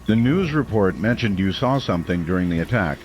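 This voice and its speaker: Male actor as radio talk host, lower-pitched, lively intonation, concerned, radio drama, rain in background. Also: brisk. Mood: concerned, probing. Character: concerned late-night small-town radio host, male. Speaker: late-night radio call-in show host. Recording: noisy, rain ambience behind.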